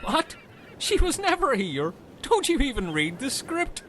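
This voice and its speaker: A man's voice: very high voice, huge pitch swings, unhurried, fairly bright tone, slight background noise, exaggerated voice. A man playing a dim, chatty, earnest Irish castaway in a comedy radio play, rambling and taking things literally. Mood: chatty, matter-of-fact.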